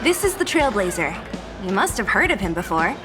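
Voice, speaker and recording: A woman's voice: medium pitch, very animated, fairly quick, natural voice. An actress playing a reserved, exasperated young female resistance fighter in a video game. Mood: dry. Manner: dry, reluctant, sighing. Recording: music clearly behind.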